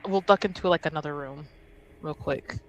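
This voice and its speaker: A tabletop roleplaying player improvising casually in character, halting at times and easily given to giggles. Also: medium pitch, slight background noise. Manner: giggly, breaks into laughter.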